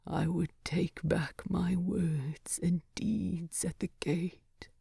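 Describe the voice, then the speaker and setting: A woman voicing a proud, gruff male dwarf king with a low, rasping, breathy voice in a fantasy story. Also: steady tone, extremely slow, dark tone, dry recording, studio quality, full character voice. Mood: weak, dying.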